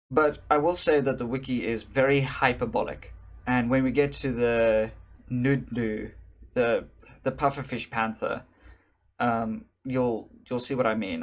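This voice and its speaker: A man from South Africa doing casual live-stream commentary into a desk microphone, rambling and correcting himself, with wry asides. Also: medium pitch.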